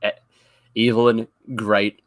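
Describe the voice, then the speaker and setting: A man's voice: lowish voice, little pitch movement, slow pace, no room sound. A man giving a casual review as a panelist, hesitant and often repeating his words. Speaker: audio drama review panelist.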